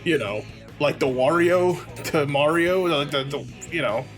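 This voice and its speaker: Guy doing casual game commentary, kinda high voice, rambles and is blunt, music playing behind him. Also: a little slow. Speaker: let's play co-host. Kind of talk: casual gaming commentary chat.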